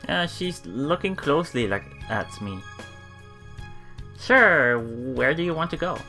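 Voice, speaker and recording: Let's player, mid-pitched and animated, reading game text aloud and thinking out loud, music underneath. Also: a little slow. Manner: musing, often thinking aloud. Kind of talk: reading visual novel text aloud with casual reactions.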